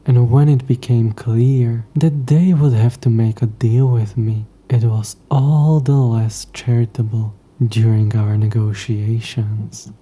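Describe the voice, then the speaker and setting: Man voicing a slow, dark, breathy demon. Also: mid-range voice, steady tone. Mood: smug, amused. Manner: slow, taunting, haughty old-fashioned phrasing. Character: centuries-old possessive male demon trapped in a necklace, coy, smug. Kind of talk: scripted audio roleplay addressed to the listener.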